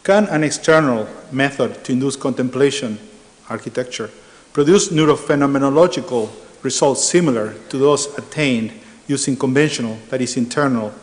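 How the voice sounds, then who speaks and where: Argentine guy giving a conference talk, mid pitch, lots of uhs, echoey big hall. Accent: Argentine Spanish. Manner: measured, with frequent uh fillers. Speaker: architecture professor presenting research. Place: large lecture hall. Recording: reverberant hall.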